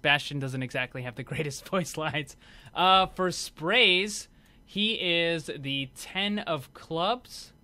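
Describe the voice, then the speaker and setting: A man's voice: a little high, sharp tone. A man hosting a gaming video, giving casual, conversational commentary as he explains what is on show.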